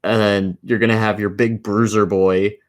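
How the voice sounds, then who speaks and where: A man's voice: fairly low pitch, little pitch movement, normal speed. A man co-hosting a casual podcast, ranting in a profane, exasperated and emphatic way. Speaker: podcast co-host. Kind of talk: casual opinionated rant about games and films.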